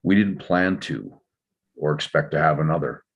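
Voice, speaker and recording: Actor as very deep, husky, reserved older father. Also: heavily acted. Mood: regretful. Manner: measured, explanatory. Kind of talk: scripted drama table read. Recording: dull, slightly muffled microphone sound.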